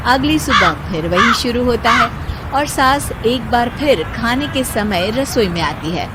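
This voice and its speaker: A woman's voice: fairly high pitch, lively intonation, measured pace, noisy background. Woman narrating a bedtime story.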